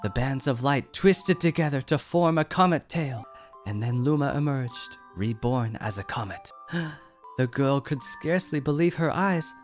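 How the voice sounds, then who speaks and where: A man reading a storybook aloud as a bedtime story, gentle and unhurried. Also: mid-range voice, expressive. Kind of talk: storybook narration. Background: background music.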